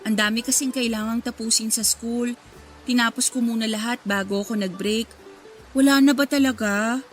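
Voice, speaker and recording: A woman playing a sweet nineteen-year-old nursing student in a Filipino radio drama, with a gentle, friendly way of talking. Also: on the high side, fairly even intonation, normal speed, soft background music, natural voice. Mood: tired, hopeful.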